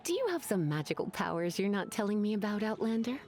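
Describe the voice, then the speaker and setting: Coy, lilting flirtatious librarian. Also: female voice, medium pitch, big pitch swings, darkish tone, faint music behind. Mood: flirty, teasing. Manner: coy, sultry, drawn-out phrasing. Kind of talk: video game voice acting.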